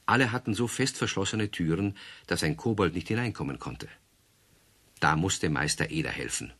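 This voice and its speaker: A man narrating a children's radio play in a lively storytelling manner. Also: sits low, moderate pace.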